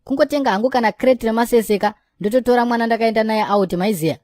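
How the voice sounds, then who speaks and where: A woman's voice: a little high, fast talker, darkish tone, lightly acted. A woman with a Zimbabwean accent voicing a boastful young man who chases women, in a cartoon. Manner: bragging, mixing in English phrases. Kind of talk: cartoon voice acting.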